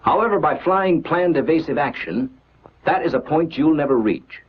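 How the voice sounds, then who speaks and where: A man narrating a scripted 1940s military training film in a measured, instructional, declarative manner on an old film soundtrack. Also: on the high side, expressive, slightly rough, highs cut off.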